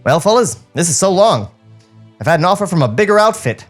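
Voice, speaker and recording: A man's voice: high-pitched, big pitch swings, a little fast, soft background music, pitched above the performer's own voice. A man playing a breezy, self-important young army enlistee with grandiose, theatrical delivery in a comic film script read. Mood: breezy, smug.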